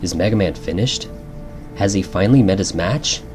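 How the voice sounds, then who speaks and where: A man's voice: sits low, normal speed. A man narrating a rhyming bedtime storybook as a storyteller, in an ASMR style. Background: background music.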